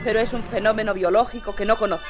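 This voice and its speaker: A woman's voice: mid-range voice, steady tone, fast, close to an everyday voice. An actress playing a learned young woman scientist, thoughtful, uneasy and earnest, in a 1950s radio serial. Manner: earnest, hesitant. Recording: vintage 1950s radio, music underneath.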